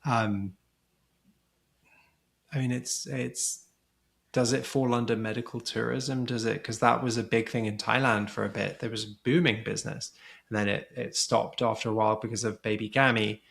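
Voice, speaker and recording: A man's voice: medium pitch, fairly even intonation, normal speed, gravelly, fairly bright tone. A man talking as a guest in a podcast interview, explaining things thoughtfully and often restarting his sentences. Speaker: podcast guest, surrogacy community co-founder. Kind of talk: casual conversational interview.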